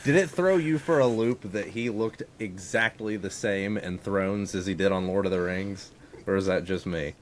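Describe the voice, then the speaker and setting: A man's voice: low voice, moderate pace, a little hiss or hum. A man co-hosting a podcast, asking questions in casual chat. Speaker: podcast co-host.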